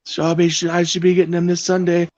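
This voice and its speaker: A man's voice: high voice, monotone, quick pace, a little mellow, no echo. Guest, mid-30s man, easygoing rambler, dry dull call audio. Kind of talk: casual livestream chat. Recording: dry muffled stream audio.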